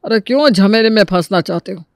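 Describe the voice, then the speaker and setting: Guy doing a worried old babuji, cartoon story, high voice for a man, grumbly, very dry recording. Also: fairly quick, no room sound. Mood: worried, wary. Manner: wary, grumbling. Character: cautious, worried elderly father of the family. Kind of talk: Hindi animated story dialogue.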